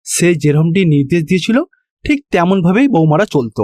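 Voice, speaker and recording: A man with a Bengali accent narrating a scripted cartoon story in an expressive storytelling manner. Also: fairly high pitch, normal speed, no echo.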